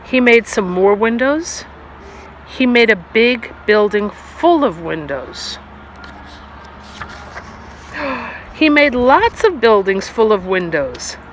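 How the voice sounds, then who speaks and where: An expressive storyteller reads a children's picture book aloud, with a lively rise and fall in a mid-pitched voice. The phrasing is made for young listeners, addressing them directly. It is recorded outdoors, with faint ambient noise behind the voice. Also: slow pace, pauses often. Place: outdoors on a stone wall by grass.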